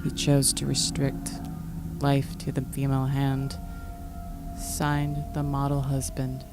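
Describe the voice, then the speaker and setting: A cold taped killer's voice. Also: female voice, extremely low pitch, exaggerated voice. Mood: menacing. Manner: slow, flat, accusing recital. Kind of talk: audio drama acting.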